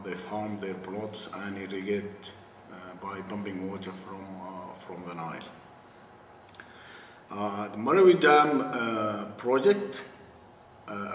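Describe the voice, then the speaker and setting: A man from northern Sudan explains his homeland's situation in an interview, at a very slow, deliberate pace full of hesitations and restarts. His voice sits a little low. The recording is roomy and distant, with a long reverb and a faint hum behind it. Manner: hesitant, many filler pauses and repeated words. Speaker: interviewee, affected community member.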